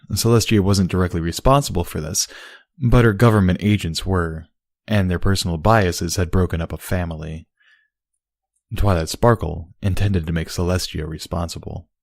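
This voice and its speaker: Low-pitched man narrating a story, dry sound. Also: no room sound. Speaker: audiobook narrator. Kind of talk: scripted story narration of fan fiction.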